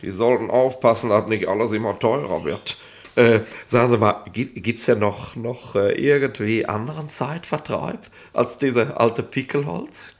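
An actor plays a petty police inspector in a 1960s radio comedy. He has a creaky, gravelly voice. He talks colloquially and hesitantly, chummy but stubborn. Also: mid-range voice, unhurried, lightly acted. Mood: chummy, grumbling. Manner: colloquial, hesitant, tagging questions with ne and nicht.

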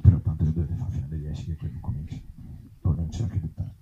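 A man's voice: rumbling low, fairly even intonation, quick pace, dark tone, muffled recording. A man talking informally on stage as an author presenting his work, wry and self-deprecating. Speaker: author and actor presenting his own book. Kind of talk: informal live stage talk. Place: outdoor festival in an olive grove.

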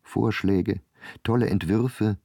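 A man's voice: lowish voice, steady tone, takes it slowly, vocal fry, dark tone, dry recording, studio quality. A man reading scripted literary narration for a radio play.

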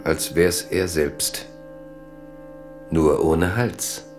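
An actor playing a grave, solemn storyteller, narrating a literary radio drama in measured phrases with music behind him. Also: male voice, low-pitched. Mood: solemn, suspenseful. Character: grave, solemn male storyteller of a royal tragedy.

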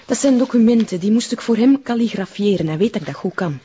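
A breathy, doubting Flemish woman. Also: fairly high pitch, lively intonation, fast talker, mellow, muffled recording. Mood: suspicious. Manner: sceptical, guarded. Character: doubting, cautious woman sect member. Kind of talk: radio drama acting.

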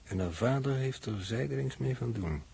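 An actor playing a sceptical observer who passes judgement in formal, deliberate, pedantic phrases, in 1960s radio drama style. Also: male voice, medium pitch, expressive, gravelly, a little mellow, slightly rough, full character voice. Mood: judging.

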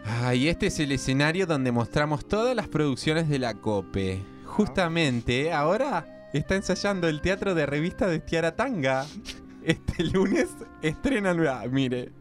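An actor playing a slippery, ingratiating club-running crook with an Argentine accent in comic radio theatre. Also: male voice, a little high, big pitch swings, a little slow, faint music behind. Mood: showy. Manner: ingratiating, evasive.

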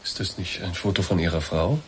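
An actor playing a courteous, quietly probing investigator in a German radio drama, speaking formal, deliberate standard German. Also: male voice, deep voice, steady tone, a little hiss or hum, lightly acted. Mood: measured, probing.

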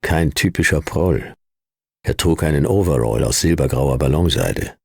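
A man playing a world-weary, sardonic private detective in a radio play, telling his story in terse, deadpan, clipped phrases. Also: very deep voice, normal speed, creaky, husky, no echo, studio quality, heavily acted. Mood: deadpan, tense. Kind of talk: hard-boiled radio play narration and dialogue.

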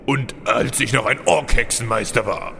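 An actor playing an evil, blustering ruler of a flying necropolis who gets easily flustered in a comic radio play. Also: male voice, medium pitch, normal speed, vocal fry, pushed and bright, a little hiss or hum. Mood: sheepish. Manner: hesitant stammering that flips into irritable scolding.

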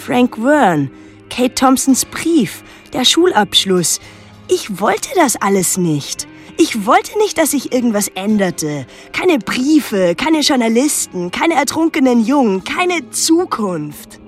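An actress playing a wary, withdrawn teenage daughter who narrates her island story in a measured, introspective radio drama style. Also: on the high side, sing-song, faint music behind. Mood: distressed.

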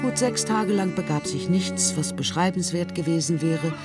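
A man's voice: a little high, normal speed. Male storyteller over music. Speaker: narrator. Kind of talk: scripted literary narration. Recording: music under the voice.

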